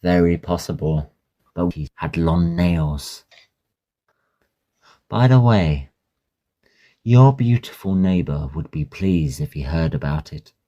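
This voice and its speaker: A man's voice: low-pitched, lively intonation, slow pace, long pauses, mellow. A man playing a chatty young Victorian Oxford student in an audio drama, jaunty and full of old-fashioned slang. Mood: gossipy, dramatic.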